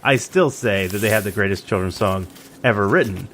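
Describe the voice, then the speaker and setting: A man's voice: on the low side, a little fast, a bit bright, a little hiss or hum. Chatty New England man podcasting. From Central Maine. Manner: rambling, laughs often, many restarts. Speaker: podcast co-host. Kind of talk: casual chat.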